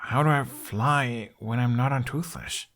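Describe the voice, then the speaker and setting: A man's voice: medium pitch, measured pace, a bit bright, natural voice. A man voicing an earnest, baffled teenage boy in an audiobook, with a hesitant, argumentative way of talking. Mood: confused, doubtful. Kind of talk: audiobook character acting.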